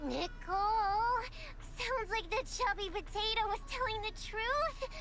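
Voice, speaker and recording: A woman voicing a naive, excitable little girl in an anime-style video game, childlike, wide-eyed and full of exclamations. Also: very high voice, a little slow, heavily acted. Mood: excited. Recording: music behind the voice. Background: background music.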